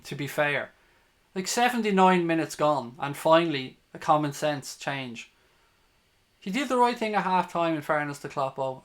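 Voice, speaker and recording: A man's voice: fairly high pitch, a bit bright. A man with a Dublin Irish accent commentating live on football for a fan livestream, blunt, sweary and opinionated. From Ireland, from Dublin. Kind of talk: live football watch-along commentary, chatting with viewers.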